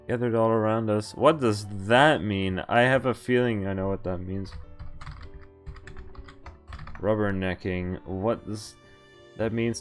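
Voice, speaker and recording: A man streaming a visual novel, reading its lines aloud and breaking off to react and explain, with animated exclamations and groans. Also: lowish voice.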